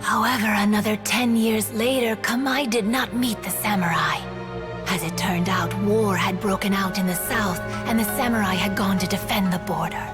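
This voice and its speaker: A woman voicing a fading, world-weary yokai spirit in a video game, telling stories in a solemn, reflective manner with breathy phrase endings. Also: medium pitch, close to an everyday voice.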